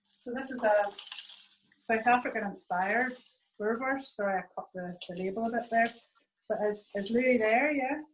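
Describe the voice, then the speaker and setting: A woman's voice: a little high, normal speed, background noise. Distant, echoey woman hosting from a kitchen. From Northern Ireland. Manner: warm-hearted, effusive praise, stumbles. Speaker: chef and event host. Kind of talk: hosting a live virtual event. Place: home kitchen. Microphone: video call.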